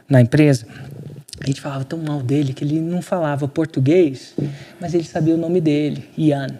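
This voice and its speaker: Man from brazil, podcast guest, lively storytelling, slangy, slightly higher voice. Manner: animated, slangy, quotes himself and others. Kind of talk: storytelling in casual conversation.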